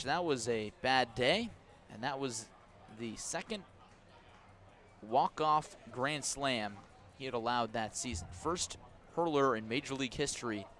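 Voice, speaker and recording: A man with an American accent doing conversational live radio baseball play-by-play, mixing clipped pitch calls with storytelling and wry asides. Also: a bit bright, a little hiss or hum. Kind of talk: live radio sports commentary mixed with storytelling.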